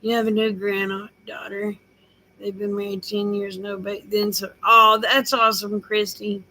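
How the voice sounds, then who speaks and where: A woman's voice: medium pitch, level tone, measured pace, pushed and bright, low-quality recording. An older woman, rambling in a folksy, casual chat with her viewers. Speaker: vlogger.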